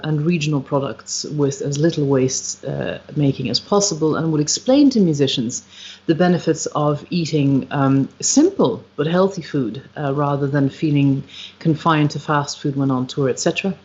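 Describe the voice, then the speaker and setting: A woman with an Irish accent, a musician being interviewed on a podcast, speaking reflectively and searching for her words with frequent pauses and ums. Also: sits low, darkish tone. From Ireland. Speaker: guest, musician and retreat founder. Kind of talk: podcast interview, thoughtful conversation. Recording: slightly dull, lo-fi livestream audio.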